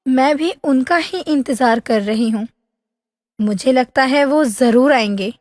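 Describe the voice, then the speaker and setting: A woman playing a young, dreamy princess in a Hindi fairy tale, speaking in a soft, expressive, dramatic way. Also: high-pitched, slow, dry recording, clean studio sound, long reverb tail. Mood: gentle.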